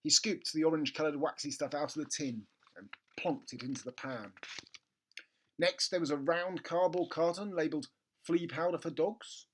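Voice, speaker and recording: A man narrating a children's book expressively, with theatrical emphasis and comic pauses. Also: fairly high pitch, fairly bright tone, slightly rough. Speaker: storybook reader.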